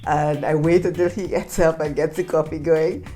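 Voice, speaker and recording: A woman's voice: deep voice, steady tone, moderate pace, mellow, soft background music. A woman from Trinidad, telling anecdotes as a guest in a casual interview. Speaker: podcast guest.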